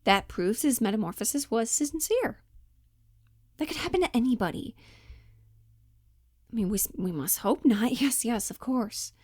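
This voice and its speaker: A woman's voice: fairly high pitch, lively intonation, normal speed, pauses often, a little mellow, no room sound, clean studio sound. A woman playing an earnest, mildly condescending male office worker, hesitant and placating, in a cold-read stage play. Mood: placating, indignant.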